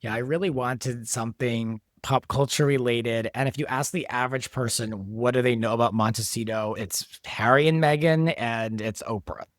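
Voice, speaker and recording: Man, creator-writer, even middle voice, thoughtful explainer, dry close mic. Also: mid-range voice, normal speed, hardly any pauses, no echo. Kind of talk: casual chat.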